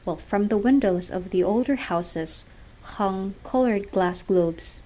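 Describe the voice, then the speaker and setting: A young woman with a Filipino accent reading literary narration for a radio drama in a measured, solemn storytelling manner. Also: medium pitch, dark tone. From Philippines. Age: young adult.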